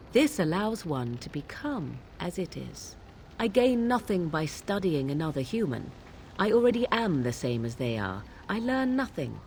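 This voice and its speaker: A woman voicing a proud, aloof young witch of the wilds in a fantasy game, speaking in archaic, measured, formal phrases. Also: on the low side, a little mellow, slight background noise. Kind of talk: fantasy video game dialogue.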